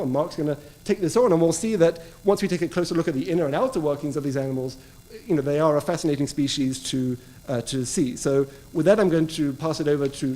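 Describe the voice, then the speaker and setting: A man's voice: on the high side, warm tone. A man introducing a public book talk, explaining eagerly. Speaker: author and speaker.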